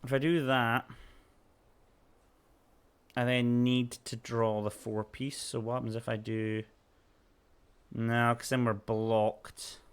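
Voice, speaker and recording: Scottish man, game streamer, casual chat, reads chat aloud, medium pitch. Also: flat delivery, takes it slowly, pauses often, clear, resonant tone. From Scotland. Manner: chatty, reads chat aloud, coos at his puppy.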